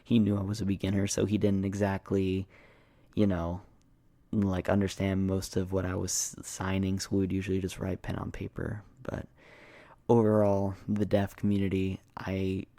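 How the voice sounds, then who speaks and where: Young man, soft-spoken ASMR ramble, very monotone, gravelly fry, casual and hesitant, filler-heavy. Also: sits low, normal speed, no echo. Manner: casual, hesitant, meandering, frequent "like". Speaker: ASMR video creator.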